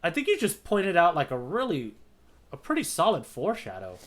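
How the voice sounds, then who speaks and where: A man's voice: a little high, huge pitch swings, a little fast, a bit bright. A man co-hosting a casual manga readalong podcast, talking with slangy enthusiasm. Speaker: podcast co-host.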